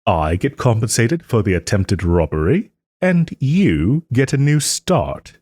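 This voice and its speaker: A man playing a smug but soft-hearted nobleman and former lover in an audio roleplay, suave and refined, with gentle teasing and hesitant pauses. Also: medium pitch, sing-song, breathy edge, dry recording, studio quality. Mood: coaxing, suave. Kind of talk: audio roleplay, dramatic monologue to listener.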